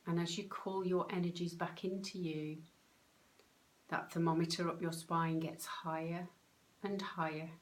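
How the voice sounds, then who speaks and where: Slow, low-pitched woman guiding meditation. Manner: calm, soothing, unhurried instruction with pauses. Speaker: meditation guide, soul coach. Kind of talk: guided meditation.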